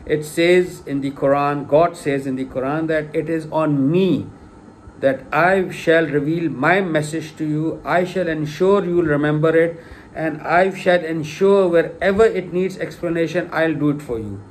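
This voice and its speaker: A man's voice: on the high side, measured pace, slight background noise. A middle-aged man, a native Urdu speaker, giving an informal spoken lecture in a hesitant way, with many fillers and restarts, then stressing key points with emphasis. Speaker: lay religious lecturer.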